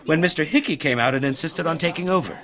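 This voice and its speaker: A man's voice: on the high side, fast, crowd noise, lightly acted. A man playing a prim, slyly gossipy hotel desk clerk in a radio drama, precise and insinuating.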